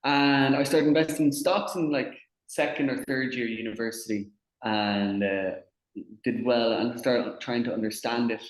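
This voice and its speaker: Young irish guy chatting as a guest, keeps restarting his words, mid pitch, roomy echo. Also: steady tone, normal speed. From Ireland. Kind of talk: casual interview.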